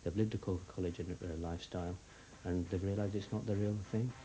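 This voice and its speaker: A man's voice: sits low, fairly even intonation, quick pace, poor audio. A man with a Yorkshire accent answering interview questions earnestly, with frequent hesitations. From West Yorkshire, England.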